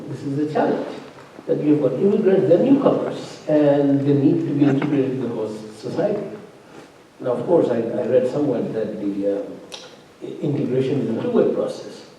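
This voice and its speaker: Man, faculty opponent, discursive academic talk full of fillers and restarts; dark, mellow tone; lively pitch; heard from far off in a very echoey hall. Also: slight background noise. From immigrant living in Norway. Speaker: faculty opponent at a thesis defense. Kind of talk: spoken academic commentary. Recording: distant, roomy lecture-hall sound.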